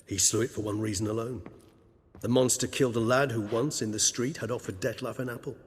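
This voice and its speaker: An actor playing an urbane, centuries-old vampire in a video game, speaking formally and wryly in long, archaic, wordy phrases. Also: male voice, medium pitch, fairly even intonation, brisk, long reverb tail, a little hiss or hum, close to an everyday voice. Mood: grave, storytelling. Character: urbane, erudite, centuries-old male vampire, gentle and loyal. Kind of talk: video game cutscene acting.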